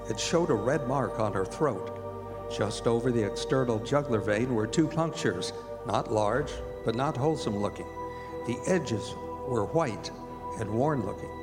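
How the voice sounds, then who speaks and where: A man's voice: mid-range voice, lively intonation, moderate pace, close to an everyday voice. An earnest doctor and devoted fiancé, played by a man, narrating a stage radio play. His medium voice echoes in a hall, with music behind. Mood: earnest, formal. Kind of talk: radio drama narration and dialogue.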